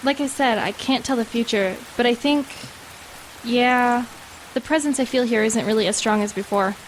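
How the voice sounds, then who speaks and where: Casting: woman as loyal teen medium for horror drama, quiet, matter-of-fact, lightly high voice with hiss and rain. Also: normal speed, natural voice, poor audio. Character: steady, loyal, uncanny teenage girl medium. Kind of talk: audio horror drama acting.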